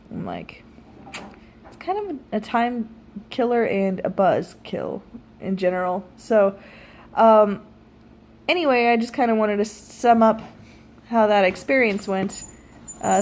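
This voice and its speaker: A woman of thirty-two telling stories casually to viewers, animated and wry, with self-deprecating asides and free swearing. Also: mid-range voice. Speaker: vlogger. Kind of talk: casual storytelling chat to viewers.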